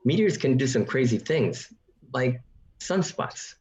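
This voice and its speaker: A man acts a suburban neighbor asking pointed questions in a radio drama. His pitch is average and the recording is dull and lo-fi. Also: lively intonation, a little slow, darkish tone, lightly acted. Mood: probing. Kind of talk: radio play acting.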